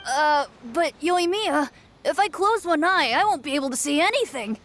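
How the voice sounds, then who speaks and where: A woman's voice: sits high, lively intonation, moderate pace, a bit bright. A woman voicing an innocent little child with a childlike voice in an anime game. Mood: puzzled.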